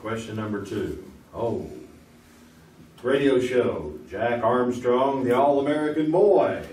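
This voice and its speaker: A man's voice: mid-range voice, slow pace, a little mellow, natural voice. A man playing a folksy, joking 1950s game show host on a theater stage. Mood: teasing. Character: jokey bumbling male 1950s quiz host. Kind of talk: live stage radio acting. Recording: roomy theater, far mic, audience noise.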